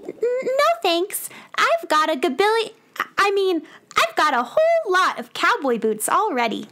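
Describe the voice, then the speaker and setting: Woman as plucky little cowgirl, very high, lively, polite, children's radio play. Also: a little slow, exaggerated voice. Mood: cheerful, matter-of-fact. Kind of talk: children's audio drama acting.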